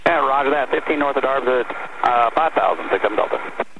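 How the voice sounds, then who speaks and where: A man's voice: mid-range voice, brisk. A man piloting a private plane, reading back instructions to air traffic control over a cockpit radio. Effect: cockpit radio transmission. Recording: very noisy, tinny cockpit radio.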